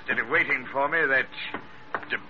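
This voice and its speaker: A dull, noisy vintage radio recording of a man acting a hard, menacing sea captain. He is middle-aged and curt, handing out deliberate, domineering threats in 1940s radio drama style. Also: mid-range voice, fairly even intonation, natural voice. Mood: insistent, threatening. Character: menacing one-legged middle-aged schooner captain, gun in hand.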